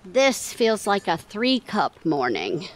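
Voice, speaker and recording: A woman's voice: mid-range voice, wildly swooping pitch, slow pace. Brassy, sardonic older career wife, acted by a woman. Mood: breezy, bossy. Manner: wry, sardonic, breezy. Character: brassy, sarcastic 65-year-old career woman and breadwinner wife. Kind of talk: audio theatre acting.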